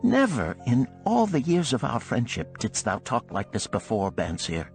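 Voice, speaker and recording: A man's voice: medium pitch, wildly swooping pitch, normal speed, soft background music, voice pushed up. A man voicing a wistful, poor musician of ancient Babylon in a storybook, speaking lyrically in archaic phrases. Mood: puzzled.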